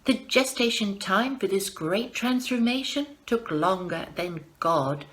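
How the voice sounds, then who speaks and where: A woman giving a wry, expressive recital of comic rhyming verse. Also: medium pitch, measured pace, a little hiss or hum. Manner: wry, expressive, exasperated asides. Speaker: performer reading a piece.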